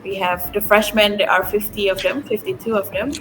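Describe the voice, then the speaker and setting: A woman teacher from Indonesia being interviewed on a podcast, explaining things clearly. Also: mid-range voice, steady tone, brisk, noisy background. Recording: music clearly behind voice.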